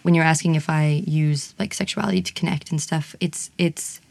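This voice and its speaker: A woman's voice: low-pitched, steady tone, moderate pace, vocal fry, darkish tone, no room sound. A woman who performs burlesque and singing, talking frankly in an interview and laughing easily. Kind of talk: candid interview conversation.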